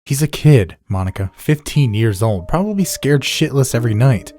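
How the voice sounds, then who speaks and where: A man playing a cocky, loudmouthed veteran newspaper chief in an audio drama, brash, sardonic and dismissive. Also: mid-range voice, expressive, normal speed, lightly acted. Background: music bed.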